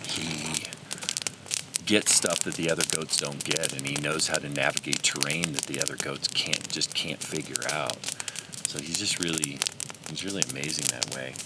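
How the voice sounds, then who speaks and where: A man's voice: very deep voice, fairly even intonation, moderate pace, very noisy. A man who guides pack goats, talking earnestly and a little rambling about his goats and his time in the backcountry. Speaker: pack goat guide and channel host. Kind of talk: casual talk to camera on the trail. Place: outdoors in alpine backcountry.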